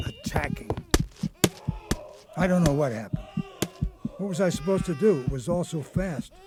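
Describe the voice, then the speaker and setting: An actor playing a haunted old grandfather, an escaped convict telling his story haltingly in a radio drama. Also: on the high side. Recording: noticeable background noise.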